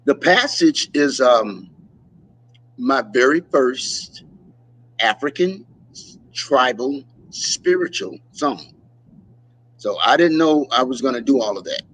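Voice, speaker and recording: A man, a musician guest in a casual interview, telling stories and acting out quotes with lively voices. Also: medium pitch, slow, long pauses, rough recording. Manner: animated storyteller, acts out quotes.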